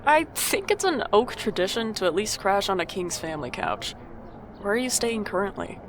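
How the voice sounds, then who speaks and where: A woman playing a wry, guarded but caring older sibling in an audio drama, with dry, deadpan delivery. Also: medium pitch, normal speed, background noise. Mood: blunt, teasing. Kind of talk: audio drama narration and dialogue.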